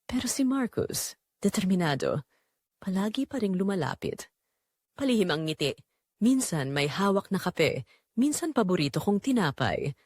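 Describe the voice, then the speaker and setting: A woman's voice: medium pitch, big pitch swings, moderate pace, a little mellow, slightly rough, no echo, studio quality. A woman playing a guilt-ridden young woman who confesses a forbidden love in an emotive, dramatic audio drama narration. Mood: anxious longing.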